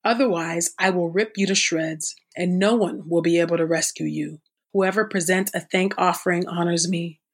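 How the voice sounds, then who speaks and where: A woman reading Bible passages aloud and then praying, earnest and measured, often repeating "oh God". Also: fairly low pitch, fairly even intonation, slightly rough. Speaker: Bible reader. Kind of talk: scripture reading and spoken prayer.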